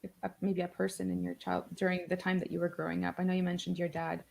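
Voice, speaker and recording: A woman's voice: fairly low pitch, monotone, fast talker, gravelly. A woman hosting an interview on a video call, upbeat and loose in her phrasing, often laughing. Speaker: host. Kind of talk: conversational interview.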